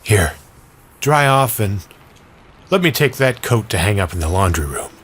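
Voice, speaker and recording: A man voicing a kind-hearted, practical woman in an audio drama, with a gentle, welcoming manner. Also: fairly low pitch, very animated, moderate pace, a little hiss or hum. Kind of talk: audio drama character acting.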